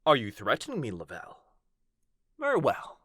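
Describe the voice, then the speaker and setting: A man's voice: medium pitch, huge pitch swings, normal speed, bright, forceful tone, breathy edge, no room sound, clean studio sound, exaggerated voice. A man playing a young, haughty vampire lord in an audio roleplay, speaking with formal, self-assured defiance. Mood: defiant, haughty. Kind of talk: ASMR roleplay audio drama acting.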